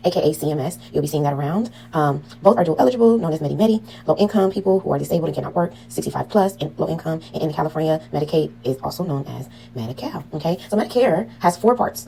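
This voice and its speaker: A woman's voice: low voice, creaky, dark tone, slight background noise, rough recording. A woman teaching a casual online class on medical billing, full of "um"s and "okay?" checks to her students. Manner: chatty, frequent "um" and "okay?" check-ins.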